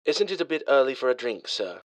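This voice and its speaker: A man's voice: medium pitch, very animated, brisk, dry recording, voice pushed up, lightly acted. A man voicing a prim, dryly sarcastic male ship AI that speaks with a light English accent. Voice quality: smooth, polite, dry.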